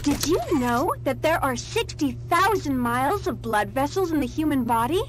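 High-pitched prim teacher doll. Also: female voice, animated, normal speed, noisy background. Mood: chipper, instructive. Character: prim creepy female schoolteacher doll, chipper lecturer. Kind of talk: horror video game educational recording.

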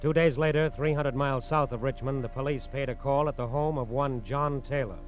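Grave American narrator, dull vintage radio sound. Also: male voice, mid-range voice, fairly even intonation, fairly quick, over music. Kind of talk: 1940s radio scripted crime narration.

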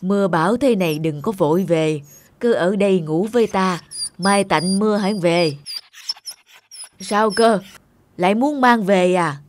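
A woman playing a kindly, compassionate elderly grandmother in an animated tale, gentle and caring. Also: medium pitch, a little slow, pauses often, slight background noise, natural voice. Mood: concerned, then coaxing. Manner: gentle, caring, musing aloud. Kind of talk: animated moral tale dubbing.

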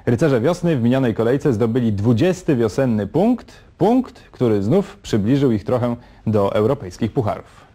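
A man presenting a sports round-up from a TV studio in a measured, wry scripted delivery. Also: mid-range voice, sing-song, warm tone.

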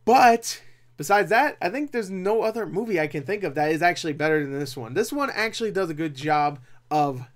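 A young man talking casually as a film reviewer, rambling and animated, with opinionated asides. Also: fairly high pitch, fairly quick. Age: young adult. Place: small cluttered room at home.

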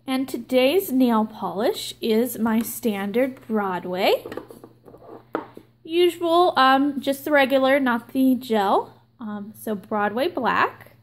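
A young woman hosting her own nail art channel, explaining a stamping tutorial slowly and with many ums. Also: high-pitched, animated, slight background noise. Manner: slow, filler-heavy explaining with many ums. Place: indoors at a table, hands filmed from above.

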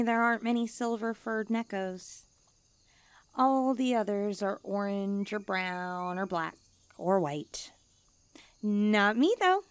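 A woman's voice: on the high side, clean tone, dry recording. A woman playing a haughty, needy young stray catgirl, blunt and cutesy, in an ASMR comfort roleplay.

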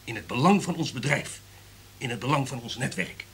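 An actor playing an imperious, irritable top executive in a radio drama, lecturing in a domineering, emphatic way. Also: male voice, medium pitch, expressive, fairly quick, a bit bright, a little hiss or hum. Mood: lecturing, commanding.

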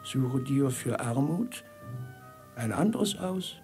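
An actor playing a fatalistic, knowing beggar sage in a 1950s radio drama, with creaky voice and measured, cryptic delivery. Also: male voice, mid-range voice, expressive, a little mellow, soft background music.

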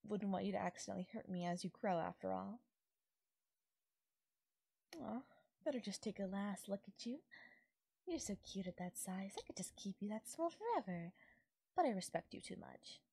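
Woman acting a breathy, possessive fairy sweetheart. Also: mid-range voice, no room sound. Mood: tender, possessive. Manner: coaxing, affectionate, softly teasing. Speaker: voice actor. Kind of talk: intimate audio roleplay, ASMR-style acting.

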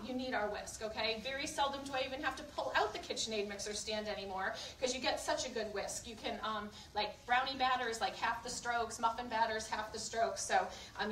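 Woman, high bright voice, chatty sales demonstrator, live cooking show, roomy distant recording. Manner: chatty, instructive, often checking in with questions. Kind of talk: live product demonstration to a group. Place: indoor room at a live event. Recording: roomy, distant mic, faint background noise.

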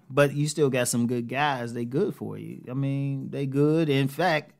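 A man's voice: medium pitch, vocal fry. A middle-aged American man giving casual advice straight to camera, conversational and emphatic, repeating phrases for emphasis. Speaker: relationship advice host. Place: living room, indoors.

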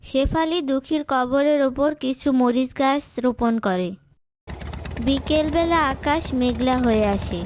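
A woman narrates a cartoon story from a script. Her voice is high, and there is clear noise behind it. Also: a little slow.

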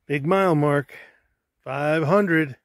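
A man's voice: on the high side, slow pace, no room sound, clean studio sound. Upbeat man filming on a trail. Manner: upbeat, chatty, talking to viewers while hiking. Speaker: hiker filming his trail vlog. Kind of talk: casual walk-and-talk narration. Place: outdoors on a rocky mountain trail. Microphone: handheld camera while walking.

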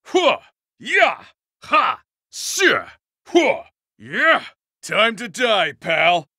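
A man's voice: high voice, huge pitch swings, drawn-out words, bright, forceful tone, no room sound, studio quality, heavily acted. A man voicing a cocky, rowdy male brawler in a video game, brash and swaggering. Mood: fierce, cocky. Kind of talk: short battle voice clips.

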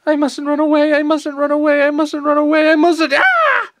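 A man's voice: very high-pitched, moderate pace, fairly bright tone, pitched above the performer's own voice. A man voicing a whiny, overwrought, self-doubting little boy in an anime parody. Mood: panicked, distressed. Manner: whining, pleading, overwrought.

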